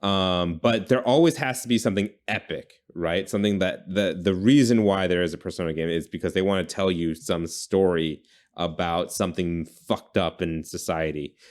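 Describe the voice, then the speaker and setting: A man's voice: low-pitched, moderate pace, a little mellow. A man hosting a casual brainstorming chat, informal and often correcting himself as he thinks aloud. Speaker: podcast host pitching game ideas.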